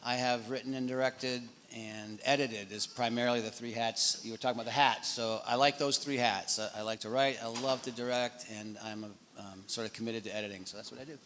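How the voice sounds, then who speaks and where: A man's voice: mid-range voice, fairly even intonation, brisk, hardly any pauses, fairly bright tone. Wry man from michigan, echoey hall. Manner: wry, joking, easygoing. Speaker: filmmaker panelist. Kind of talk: casual panel talk. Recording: hall reverb with faint noise.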